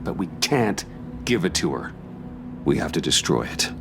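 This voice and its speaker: A steadfast vigilante hero for a video game. His voice is deep and creaky, measured and restrained yet expressive, with music playing behind him. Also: background noise, heavily acted. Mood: firm earnest.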